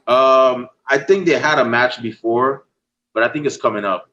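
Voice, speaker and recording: A man's voice: medium pitch, normal speed, highs cut off. A man from Guyana with a Guyanese accent, hosting a casual wrestling podcast and asking guests for their picks. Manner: relaxed, laid-back, uses y'all. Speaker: podcast host. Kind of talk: casual chat.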